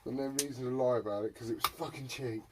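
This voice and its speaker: British male hobbyist, mid-pitched, jokey and foul-mouthed, chatting loosely to viewers and himself; noisy, clicky workbench recording. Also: fairly even intonation, quick pace. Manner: sweary, jokey muttering to himself. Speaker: hobbyist repairer presenting a video. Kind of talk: rambling casual workbench chat to viewers.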